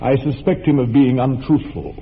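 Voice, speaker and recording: A man voicing a stiff, proper young English gentleman in a radio play, speaking formally and with mock gravity. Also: medium pitch, fairly even intonation, moderate pace, lightly acted. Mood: aggrieved. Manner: formal, precise, mock-grave speech. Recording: thin narrow-band radio recording with faint hiss.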